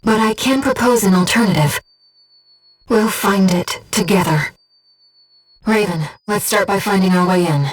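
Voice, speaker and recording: A woman voicing a gentle, earnest disembodied being in a video game, guiding a pilot with composed, precise, softly formal delivery. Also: mid-range voice, unhurried, pauses often, far from the mic, lightly acted. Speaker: mission support operator. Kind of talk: scripted video game voice acting.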